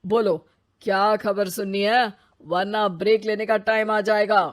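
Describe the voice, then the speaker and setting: A woman voicing a talkative, cheeky male magic television in a cartoon story, teasing the family in a slow, chatty manner. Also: mid-range voice, little pitch movement, lightly acted. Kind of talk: Hindi cartoon voice acting.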